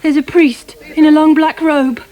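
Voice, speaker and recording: An actress playing a romantic, headstrong wife in a radio drama, theatrical and intense. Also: female voice, sits high, level tone, moderate pace, warm tone, close to an everyday voice, low-quality recording. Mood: frightened. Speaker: actor. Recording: radio drama with background effects noise.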